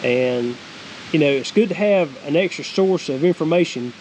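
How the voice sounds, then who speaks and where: Man, Southern US accent, fairly high pitch, folksy rambling delivery, casual vlog review, outdoor recording with background hiss. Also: expressive, moderate pace, darkish tone, rough recording. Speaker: homestead vlogger reviewing a product. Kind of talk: casual unscripted product review. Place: outdoors, wooded creek, then cabin deck.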